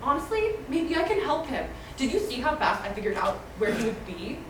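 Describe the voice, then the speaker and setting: Woman doing earnest determined young girlfriend at a table read, highish voice, noisy far mic. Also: very animated, fairly quick. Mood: eager. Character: earnest, determined young woman investigating her spy boyfriend. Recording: echoey room, distant mic, noisy.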